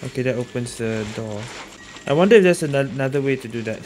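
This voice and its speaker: A woman's voice: rumbling low, lively intonation, fast, noisy background. A woman giving casual gameplay commentary, thinking aloud and reacting with short exclamations. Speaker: gaming streamer. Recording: game music behind the voice. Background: video game music.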